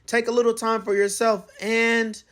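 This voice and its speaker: A man's voice: very high-pitched, little pitch movement, normal speed, a bit bright. A man voicing an upbeat, caring superhero mother in a children's storybook. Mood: encouraging.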